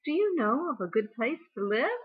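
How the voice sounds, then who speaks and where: A woman's voice: sits high, very animated, normal speed, slightly rough, phone-quality sound, pitched above the performer's own voice, exaggerated voice. A woman voicing an eager, polite rabbit from a children's storybook, with exclaiming delivery. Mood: polite, hopeful. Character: eager, polite blue rabbit looking for a home.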